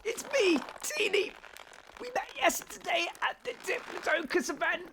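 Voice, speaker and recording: A woman's voice: very high voice, lively intonation, normal speed, fairly bright tone, slight background noise. A woman playing a flustered, whiny young male adventurer in an amateur audio drama. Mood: panicked pleading.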